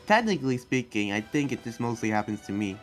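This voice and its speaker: Music plays behind a man acting as an earnest demon slayer who guards his town. He explains himself in a halting, rambling way, with an average-pitched voice, as in an improvised video game roleplay. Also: sing-song, natural voice. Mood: defensive, earnest. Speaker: roleplay player. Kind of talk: improvised Minecraft anime roleplay dialogue. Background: background music.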